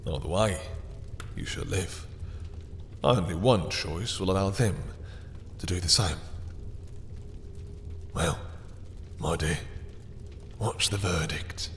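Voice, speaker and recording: Guy doing a slow deep vampire for roleplay audio, bossy and possessive, silky menace, big reverb. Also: fairly bright tone, exaggerated voice. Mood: commanding, gloating. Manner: slow, silky, commanding, condescending endearments. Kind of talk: intimate roleplay audio drama acting. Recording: long reverb, faint background noise.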